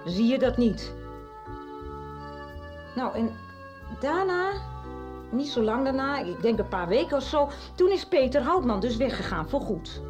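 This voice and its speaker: A woman's voice: sits high, big pitch swings, unhurried, pauses often, over music. A confiding middle-aged woman. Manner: confiding, chatty storytelling with hesitations. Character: middle-aged woman confessing her past. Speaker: radio drama character. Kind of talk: 1990s radio drama acting.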